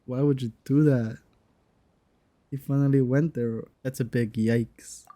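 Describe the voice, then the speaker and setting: A young adult gameplay commentator with a mellow voice, recorded dry and close. The reactions are casual, slangy and laid-back. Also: medium pitch, steady tone, measured pace, no room sound.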